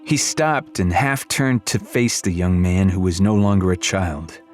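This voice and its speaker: A man's voice: low-pitched, animated, unhurried, soft background music. A man reading scripted fantasy fiction as an audiobook narrator.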